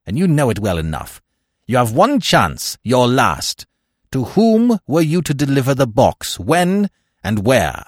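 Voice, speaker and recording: A man's voice: low voice, big pitch swings, measured pace, few pauses, slightly rough, no room sound, studio quality, full character voice. A man voicing an irritable, commanding male investigator in an audiobook story, snapping out demands with fierce intensity. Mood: demanding, fierce.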